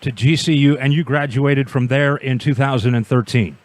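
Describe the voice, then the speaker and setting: A man hosting a scholarship program's interview, asking questions in a measured, politely probing way. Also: mid-range voice, fairly even intonation, a little hiss or hum.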